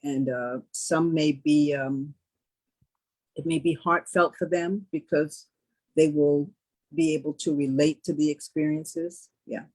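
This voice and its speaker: An American woman with a husky voice answers questions as an interview guest. Her delivery is casual, measured and deliberate. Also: low-pitched, steady tone, a little mellow, rough recording. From New York. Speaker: stage play cast member as guest.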